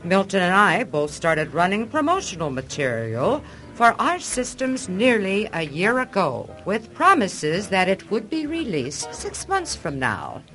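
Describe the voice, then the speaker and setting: Sharp, combative businesswoman. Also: medium pitch, wildly swooping pitch, a little slow, soft background music. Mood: indignant, scornful. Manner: indignant, condescending, emphatic. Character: combative, self-assured female software company boss. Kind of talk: radio drama acting.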